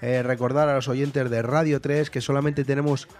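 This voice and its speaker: A man's voice: medium pitch, little pitch movement, normal speed, darkish tone, soft background music. A man hosting a comedy talent-show sketch on the radio, playing a playful presenter and judge.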